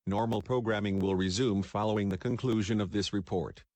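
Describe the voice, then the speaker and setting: A man's voice: lowish voice, brisk, darkish tone, no room sound, lightly acted. A man playing a formal television station announcer reading a scripted programming interruption.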